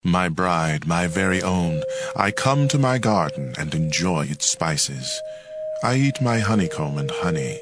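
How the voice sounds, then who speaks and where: An actor playing a tender, adoring bridegroom in a dramatized audio Bible, speaking in a reverent, romantic, lingering way over music. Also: male voice, low voice, lively intonation, unhurried. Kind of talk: dramatized audio Bible, slow poetic love speech. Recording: music bed behind the voice.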